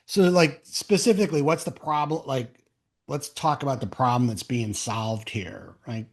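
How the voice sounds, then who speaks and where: A man's voice: medium pitch, normal speed. A man hosting a casual interview show, talking informally and rephrasing his questions as he goes.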